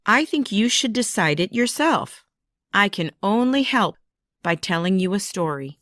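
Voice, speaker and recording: A woman voicing a kindly, patient cartoon grandmother who speaks in a gentle, caring, measured manner. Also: mid-range voice, expressive, no echo, studio quality. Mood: advising.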